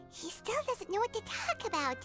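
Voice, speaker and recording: A woman voicing a tiny, childlike fairy companion in a video game, squeaky, chatty and blunt, talking in exclamations. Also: full character voice. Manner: refers to self in third person, exclaiming. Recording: music behind the voice.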